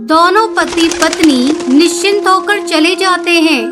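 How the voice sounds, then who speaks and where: A woman's voice: very high voice, a little slow, loud music behind the voice. A woman narrator reading a scripted children's cartoon story.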